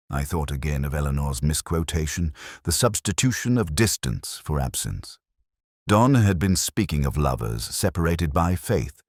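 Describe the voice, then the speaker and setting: A man narrating a literary mystery in the first person, in a measured, melancholy and solemn way. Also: deep voice, no room sound, clean studio sound.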